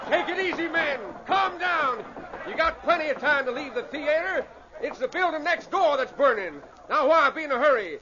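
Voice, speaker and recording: A high-voiced frontiersman, folksy and steady, taking charge to reassure people. A crowd sounds behind him on a dull old radio recording. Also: normal speed, very noisy. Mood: loud, calming. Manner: folksy, calming, take-charge. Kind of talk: old-time radio drama acting.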